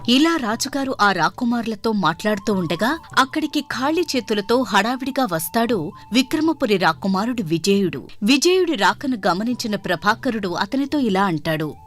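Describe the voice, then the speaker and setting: A woman reads a scripted storybook tale expressively. Her voice is fairly high with lively swings of pitch, and soft music plays behind her. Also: normal speed. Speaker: story narrator.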